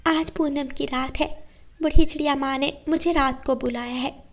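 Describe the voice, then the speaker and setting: High-pitched earnest mother bird voiced by a woman. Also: steady tone, moderate pace. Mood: hopeful. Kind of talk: children's cartoon story.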